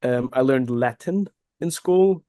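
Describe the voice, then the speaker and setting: Brief: a thoughtful German-native man, medium pitch, a guest in a casual chat. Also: slow, dark tone, no room sound, clean studio sound. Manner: reflective, repeats words while searching for phrasing. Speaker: guest, cigar company executive. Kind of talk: casual conversational interview.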